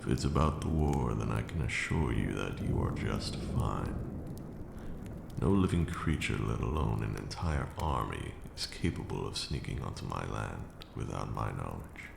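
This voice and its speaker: A man playing an ancient vampire lord, a stern yet caring mentor, speaking in formal, measured, archaic phrases in an ASMR roleplay. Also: animated, heavily acted. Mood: instructive, reassuring. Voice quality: gravelly, very deep. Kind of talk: ASMR roleplay, slow intimate monologue. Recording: roomy echo with audible background noise.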